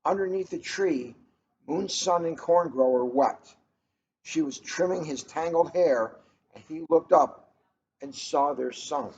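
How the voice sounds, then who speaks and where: A man's voice: a little high, unhurried, a little mellow. A middle-aged man, a police officer, reading a storybook aloud and chatting casually between passages. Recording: muffled, noisy ambient room recording.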